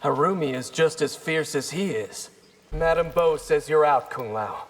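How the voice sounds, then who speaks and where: An actor voicing a friendly, earnest young warrior in a fighting video game, with casual, playful ribbing. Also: male voice, fairly high pitch, unhurried. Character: friendly young male warrior, earnest and teasing sparring partner. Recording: long hall reverb.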